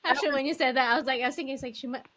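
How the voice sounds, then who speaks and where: A woman's voice: high voice, racing, a bit bright. A woman co-hosting a podcast, asking questions and chatting casually with a guest. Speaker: podcast co-host. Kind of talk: casual interview chat.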